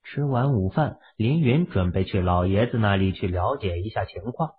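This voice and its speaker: Man, audiobook narrator, scripted storytelling, deep-ish pitch, thin band-limited sound. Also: normal speed, husky. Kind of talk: scripted dramatic narration of a web novel. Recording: muffled, phone-like, lo-fi audio.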